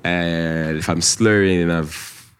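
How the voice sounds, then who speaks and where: A man's voice: deep voice, steady tone, slow pace, smooth. A man in his thirties with a lisp, chatting casually as a podcast guest, laid-back and swearing freely. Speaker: podcast guest, DJ and radio presenter.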